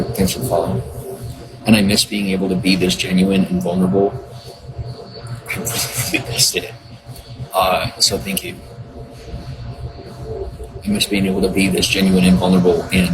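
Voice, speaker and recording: A man's voice: low-pitched, rough recording. A man chatting on a livestream, earnest and rambling, reading and answering viewers. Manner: rambling, earnest, many restarts. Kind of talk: casual livestream chat.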